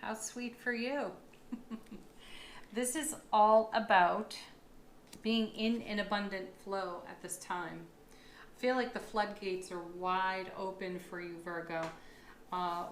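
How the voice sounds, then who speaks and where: A woman reads tarot cards aloud in an upbeat, affirming manner, pausing for reflective asides. Her voice sounds a little froggy and worn. She is recorded in an echoey room with a faint hum of ambience behind her. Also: mid-range voice, a bit bright. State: slightly froggy, tired voice. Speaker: tarot reader. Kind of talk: monthly astrology tarot reading.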